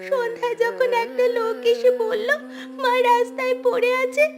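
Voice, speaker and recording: A woman's voice: extremely high pitch, flat delivery, normal speed, creaky. A woman voicing an innocent, devoted poor little village girl in a melodramatic cartoon, with a childlike, earnest, pleading way of talking. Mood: grieving. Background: loud music.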